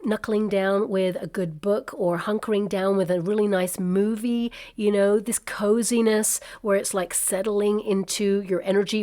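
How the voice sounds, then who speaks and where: A woman doing a casual tarot reading at a table, chatty and reassuring with many fillers, her voice slightly croaky from a coming cold. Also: medium pitch, a little mellow. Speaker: tarot reader. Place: indoors at a table covered with cards and crystals.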